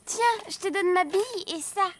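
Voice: very high-pitched, normal speed, fairly bright tone, slight background noise. A performer voicing an insistent, childlike little girl donkey who bargains eagerly in a French preschool cartoon. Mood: coaxing.

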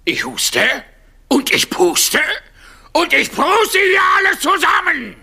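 An actor playing a big bad fairy-tale wolf, huffing and threatening, in a children's radio play. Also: male voice, very high-pitched, huge pitch swings, takes it slowly, vocal fry, sharp tone, shouting, exaggerated voice. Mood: menacing, blustering.

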